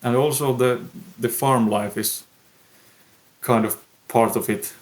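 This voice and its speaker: A young man with a Finnish accent, a musician answering interview questions in a thoughtful, pausing way. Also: medium pitch, fairly even intonation. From Finland. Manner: slow, thoughtful, pausing often. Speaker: musician guest. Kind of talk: podcast interview.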